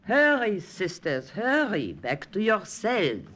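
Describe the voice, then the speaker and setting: An actress playing a calm, authoritative Mother Superior of a convent in a 1940s radio drama, speaking formally. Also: medium pitch, slow pace, background noise. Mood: firm, formal.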